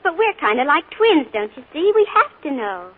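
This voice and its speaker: An earnest, dreamy teenage girl in a 1940s radio play. Her voice sits very high and sounds eager and breathless. The thin, scratchy broadcast sound frames it. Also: big pitch swings, moderate pace, narrow, tinny audio.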